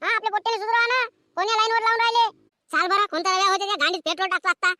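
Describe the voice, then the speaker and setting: A man voicing a furious, foul-mouthed village mother in a cartoon comedy, scolding in a rural Vidarbha Marathi accent with a pitched-up voice. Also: racing, pushed and bright, exaggerated voice. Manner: scolding, hectoring, repetitive questioning.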